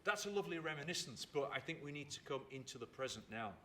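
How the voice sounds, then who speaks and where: A man in his fifties, from England, telling stories about his upbringing in an unhurried way and repeating words for emphasis, recorded in a theatre. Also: a little high, expressive, pushed and bright, a little hiss or hum. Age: 50s. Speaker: storyteller and writer. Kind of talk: reflective storytelling chat.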